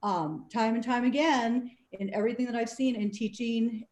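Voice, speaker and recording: Measured woman, native Spanish speaker, echoey room. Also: on the high side, steady tone, darkish tone. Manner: measured, explanatory, pauses to search for words. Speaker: city council member. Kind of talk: council meeting remarks.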